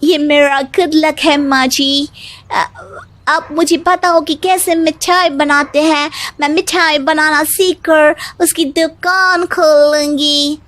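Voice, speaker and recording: A woman's voice: high voice, steady tone, slow, a bit bright. A woman voicing a sweet, eager young Chinese daughter-in-law in a Hindi cartoon story, polite and girlish. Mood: eager, curious. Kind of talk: Hindi animated moral story acting.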